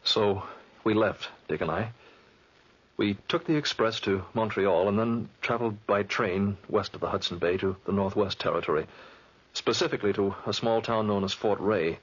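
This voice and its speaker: An actor playing an ordinary married man who tells his story, with a husky voice, in 1940s radio drama style on a muffled old recording. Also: fairly low pitch, normal speed. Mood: earnest. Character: troubled husband and defendant recounting a hunting trip.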